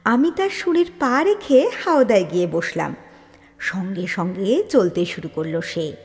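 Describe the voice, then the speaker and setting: A woman narrating a literary story in a dramatic, expressive manner, with deliberate pauses and emphasis. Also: medium pitch. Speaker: storyteller reading an audio story. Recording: long hall-like reverb on the voice.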